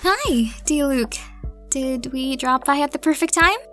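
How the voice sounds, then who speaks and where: A woman's voice: high-pitched, lively intonation, unhurried, a bit bright, faint music behind, voice pushed up. A woman voicing a breezy, light-hearted young adventurer in an audio roleplay. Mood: friendly greeting.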